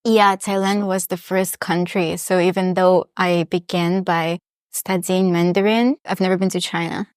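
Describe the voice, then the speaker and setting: A woman's voice: lowish voice, flat delivery, moderate pace, clean tone, no room sound, clean studio sound. A young woman from Russia, chatting reflectively as an interview guest. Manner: reflective, chatty, many restarts and small laughs. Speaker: guest, polyglot. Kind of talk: casual interview chat.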